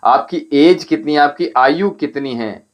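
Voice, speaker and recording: A man who teaches spirituality and Ayurveda, answering viewers' comments on a livestream and calling each of them by name in a measured, instructive way. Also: fairly high pitch, highs cut off. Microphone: livestream setup.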